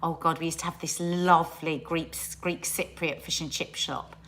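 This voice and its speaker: A woman's voice: sits low, fairly even intonation, moderate pace, a bit bright. A middle-aged woman hosting a casual livestream, chatting with viewers, often trailing off and restarting her sentences. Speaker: livestream host.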